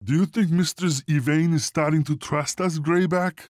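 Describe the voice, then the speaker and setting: A man's voice: on the high side, fairly even intonation, normal speed, darkish tone, no room sound, studio quality, natural voice. A man playing a hungry, simple slave miner in a video game, complaining in a blunt, whiny way. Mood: grumbling. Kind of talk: video game cutscene acting.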